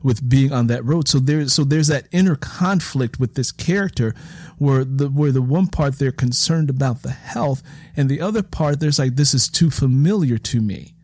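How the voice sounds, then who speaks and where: A male interview host with a medium-pitched voice and a very dry recording with hardly any room sound. He talks conversationally and reflectively, working through his points as he goes. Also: moderate pace, a little mellow, no echo.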